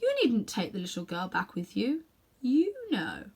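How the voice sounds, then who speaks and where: A woman's voice: mid-range voice, very animated, unhurried, a little mellow, slightly rough, heavily acted. A woman voicing a fierce, scornful witch who coaxes and tempts insistently in a children's storybook. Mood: sly sweet tempting. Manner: imperious, insistent persuasion.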